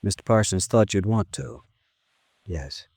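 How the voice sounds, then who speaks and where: An actor playing a dutiful male secretary in a 1950s radio drama, quiet, polite and hesitant. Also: fairly low pitch, animated, unhurried, creaky, breathy edge, no echo, soft background music, heavily acted. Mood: subdued, awkward. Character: subdued, dutiful male secretary to a rich old man.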